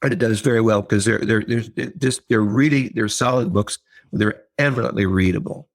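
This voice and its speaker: Low-voiced older man, halting and thoughtful. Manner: thoughtful, halting with many fillers and repeats. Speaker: author and writing teacher. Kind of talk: casual interview conversation.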